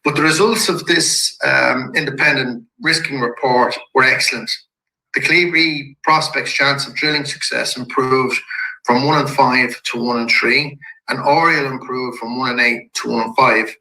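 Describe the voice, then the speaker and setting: A man with a croaky voice from a head cold, giving a measured presentation to shareholders. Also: mid-range voice, fairly even intonation, bright, forceful tone, echoey room, poor audio. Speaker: company executive presenting to shareholders. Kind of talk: investor presentation.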